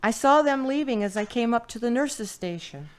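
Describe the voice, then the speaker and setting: A woman's voice: on the high side, animated, moderate pace, natural voice. A woman acting a protective older sister who pries and speaks sharply. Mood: prying. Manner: nosy, sharp-tongued. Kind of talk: amateur radio drama acting.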